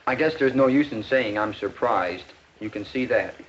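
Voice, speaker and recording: An actor playing a modest, earnest male high school student in a 1950s educational film, speaking plainly and politely with some hesitancy. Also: moderate pace, natural voice. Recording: dull, noisy 1950s film soundtrack.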